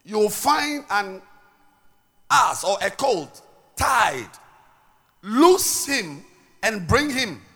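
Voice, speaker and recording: Slow, lively-voiced Ghanaian preacher man. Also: high voice. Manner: emphatic, swinging between loud declarations and quiet asides; repeats words as he restarts. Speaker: pastor. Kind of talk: sermon.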